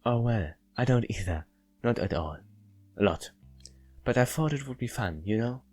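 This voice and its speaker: A man's voice: mid-range voice, animated, moderate pace, a little mellow, breathy edge, faint music behind, voice pushed up. Man voicing a chirpy, teasing young woman coworker. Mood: friendly, inviting. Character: Grace, friendly cheerful young female coworker.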